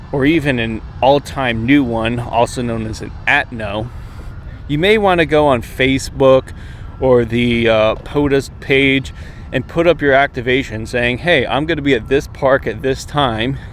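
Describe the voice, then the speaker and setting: American man vlogging outdoors over background noise. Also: medium pitch. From United States. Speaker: amateur radio vlogger. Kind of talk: casual instructional vlog to camera. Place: outdoors, open park path beside water. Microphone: camera held at arm's length. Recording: outdoor recording with traffic and machinery noise. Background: jets, vehicles, urban outdoor noise.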